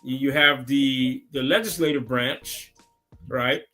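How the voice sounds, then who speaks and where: A man's voice: medium pitch, pushed and bright, soft background music. A man from Guyana, a city council member, explaining government step by step as an interview guest over a remote call. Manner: measured, explains step by step with tag questions.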